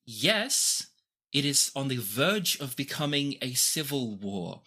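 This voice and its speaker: A game master voicing a grouchy, blank-faced helmswoman in a tabletop game, speaking in a blunt, deadpan, clipped way. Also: mid-range voice, slow, exaggerated voice.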